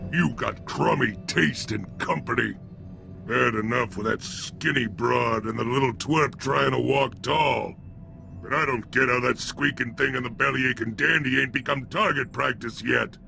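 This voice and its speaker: An actor playing a brutish, bloodthirsty alien gangster in a video game, with a rough voice and crude, sneering street-thug talk. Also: male voice, mid-range voice, bright, forceful tone, heavily acted. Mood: sneering, contemptuous. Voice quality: rough, gravelly. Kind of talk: video game character acting.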